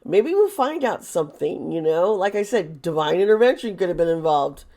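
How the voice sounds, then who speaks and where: A hoarse woman who is losing her voice, talking through a tarot topic in a rambling, chatty way with sarcastic asides. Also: fairly low pitch, sing-song, normal speed, warm tone. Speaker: tarot reader. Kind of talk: casual monologue.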